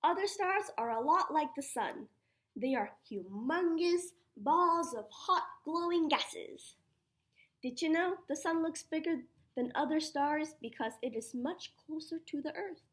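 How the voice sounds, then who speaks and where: A woman preschool teacher reading a children's science picture book aloud, putting questions to her young listeners in an animated, encouraging way. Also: high voice, measured pace. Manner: child-directed, asks questions and answers them herself.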